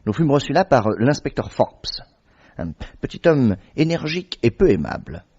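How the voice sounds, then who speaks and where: A man narrating a radio drama in a measured, steady way as the detective's companion. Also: medium pitch, husky. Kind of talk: scripted narration. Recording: dull vintage radio recording.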